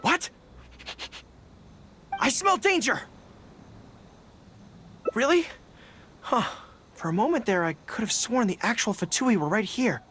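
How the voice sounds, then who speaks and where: A woman voicing a youthful, earnest and modest dog-eared general in a video game, expressive and a little hesitant, with music behind. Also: mid-range voice, slow pace, pauses often, a little hiss or hum. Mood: alarmed. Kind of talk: scripted game dialogue. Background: background music.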